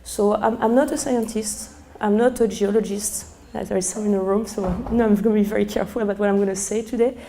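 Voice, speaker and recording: A middle-aged woman with a strong French accent, a sommelier speaking live to a seminar room, hoarse from losing her voice and chatty with many fillers. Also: mid-range voice, fairly even intonation, fairly quick, hardly any pauses, mellow, slight background noise. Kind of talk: live talk to a seminar audience.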